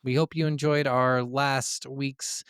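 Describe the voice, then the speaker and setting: A man co-hosting a podcast in casual banter about video games, blunt, teasing and quick to swear. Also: mid-range voice, little pitch movement, fairly bright tone, smooth, no echo, clean studio sound. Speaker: podcast co-host.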